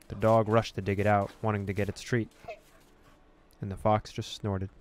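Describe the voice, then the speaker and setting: A man hosting a gaming video, reading game text aloud in an expressive storytelling way with casual, amused asides. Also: lowish voice, smooth, dry recording.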